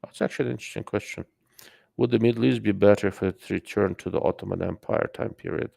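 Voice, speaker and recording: A man with a noticeable accent holds forth on his live stream, loose and rambling, full of fillers and asides to his viewers. His voice sits low and is heavily gravelly. The sound is dry and close, with no room around it. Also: steady tone, quick pace, warm tone, no room sound. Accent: non-native English. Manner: rambling, filler-heavy, greets chat mid-sentence. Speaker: livestream host. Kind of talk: casual live-stream commentary.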